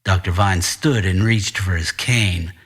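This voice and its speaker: A man's voice: low-pitched, a little slow, a bit bright, slightly rough. A man reading his own noir fiction as scripted audiobook-style narration.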